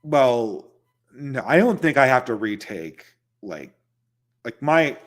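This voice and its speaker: A man's voice: medium pitch, very animated, takes it slowly. A man hosting a casual livestream, answering viewer questions in loose, conversational talk and chuckling between points.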